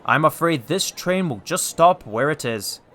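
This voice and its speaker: A man as a firm, formal, forceful railway guard. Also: sits high, sing-song, moderate pace, pitched above the performer's own voice. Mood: stern. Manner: formal, insistent.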